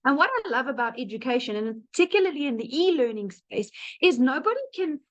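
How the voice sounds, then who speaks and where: Bright-voiced South African woman, candid. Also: high-pitched, lively intonation, quick pace, lo-fi sound. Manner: candid and conversational, with frequent ums. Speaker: guest speaker sharing her story. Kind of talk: personal testimonial on a live webinar.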